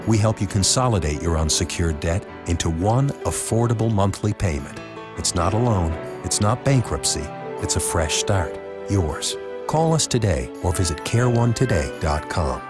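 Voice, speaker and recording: Deep male ad announcer over music. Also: lively intonation, moderate pace. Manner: earnest, reassuring read. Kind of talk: scripted commercial narration.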